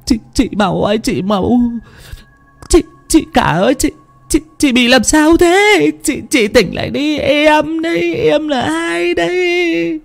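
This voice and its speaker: A man voicing a panicked middle-aged village woman in a horror story, pleading in tearful, drawn-out wails. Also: very high voice, expressive, creaky, faint music behind, voice pushed up, exaggerated voice.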